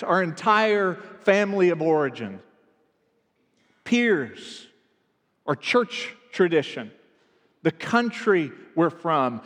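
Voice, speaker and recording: A man's voice: sits high, a bit bright, in a large hall. A man from the American South preaching a reflective sermon as pastor, earnest and building in emphasis.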